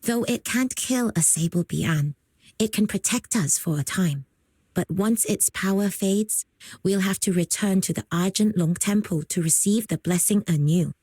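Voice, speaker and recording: Gentle, slightly husky young villager. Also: female voice, medium pitch, measured pace, no room sound. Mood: earnest, explanatory. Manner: calm, polite, explanatory. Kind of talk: video game character acting.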